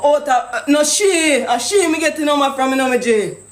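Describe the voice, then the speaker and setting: A man's voice: very high voice, expressive, fairly quick, roomy sound. A man with a Jamaican accent making a prank phone call, talking pushy and accusing in thick patois. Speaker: prank caller.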